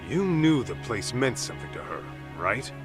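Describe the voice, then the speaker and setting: An actor playing a tough, hot-tempered middle-aged investigator in a dramatic video game cutscene, speaking in a blunt, confrontational way. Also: male voice, medium pitch, sing-song, normal speed, music in the background, natural voice. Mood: accusing.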